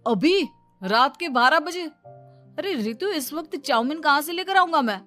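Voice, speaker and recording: A woman's voice: high-pitched, animated, normal speed, pushed and bright, faint music behind. A woman voicing an anxious, attentive young husband in a cartoon story, earnest and placating in manner. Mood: startled. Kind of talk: Hindi cartoon story dub.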